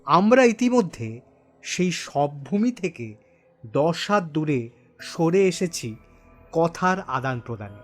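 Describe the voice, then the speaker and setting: A man narrates an audio horror tale in the first person, his voice fairly high and very expressive. He phrases each moment deliberately for suspense, over soft background music.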